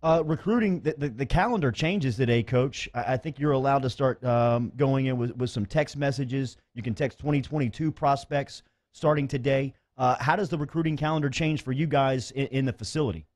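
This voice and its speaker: Male sports radio host, mid-pitched. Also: brisk, a little mellow. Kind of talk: conversational interviewing.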